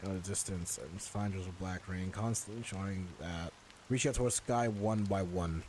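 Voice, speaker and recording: A man's voice: low-pitched, moderate pace, a little mellow, slight background noise. A man giving casual, irreverent reaction commentary as he plays a game, puzzling things out aloud. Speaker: gaming streamer.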